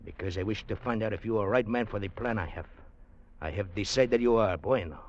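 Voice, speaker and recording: An actor playing a secretive Mexican ex-revolutionary with a Mexican Spanish accent and halting English, in old-time radio drama style. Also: male voice, fairly low pitch, natural voice. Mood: guarded. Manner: conspiratorial, halting non-native English phrasing. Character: secretive Mexican ex-revolutionary fugitive plotting gold recovery. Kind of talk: 1940s-50s radio drama acting. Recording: muffled vintage radio recording.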